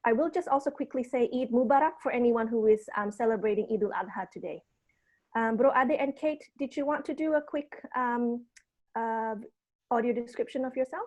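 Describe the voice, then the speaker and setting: A woman in her early thirties, a native Indonesian speaker, hosting a webinar over a video call and running through housekeeping in a measured, orderly way. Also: a little high. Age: early 30s. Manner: measured and orderly, slowing down for access descriptions. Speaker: host and program producer.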